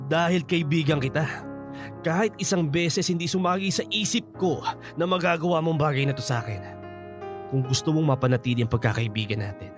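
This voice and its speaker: A man's voice: on the high side, natural voice. A Filipino man acting as an outraged, protective middle-aged father, confrontational and accusing, in a radio drama. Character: outraged, protective father betrayed by his friend. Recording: dramatic music bed underneath.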